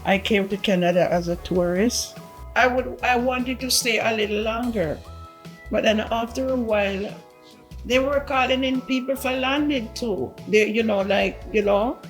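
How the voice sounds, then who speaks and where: Conversational woman with soft music behind. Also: fairly high pitch, normal speed, rough recording. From Jamaica. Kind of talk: interview recollection.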